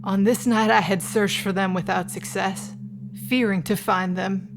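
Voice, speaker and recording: A woman playing the sorrowful ghost of a murdered wife and mother, speaking in a solemn, measured, formal literary monologue. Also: mid-range voice, fairly even intonation, background noise, natural voice. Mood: wistful, haunted. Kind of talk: literary gothic monologue in an audio drama.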